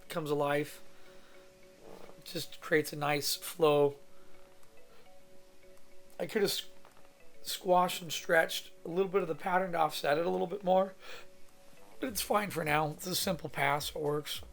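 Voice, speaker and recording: A man from California with a hoarse voice from a cold, thinking aloud in a rambling, casual way as he teaches a drawing demo in his home studio. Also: fairly high pitch, steady tone, measured pace, pauses often, a bit bright, faint music behind. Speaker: art instructor.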